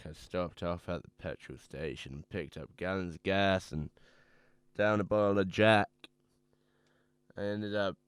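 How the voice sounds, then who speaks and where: A man playing a troubled, hard-drinking man who tells his own story in halting, haunted phrases for a radio drama. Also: low voice, clear, resonant tone, no room sound. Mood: remorseful confession. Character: troubled, drunken man confessing his ruin. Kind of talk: dramatic first-person radio drama monologue. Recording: music playing behind the voice.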